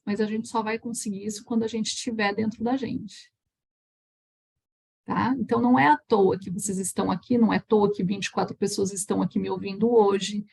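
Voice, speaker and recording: A Brazilian woman teaching energy healing in a live online talk, chatty and warm, often checking in with her listeners. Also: on the high side, husky. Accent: Brazilian Portuguese. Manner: warm, chatty, frequent restarts and "tá?" check-ins.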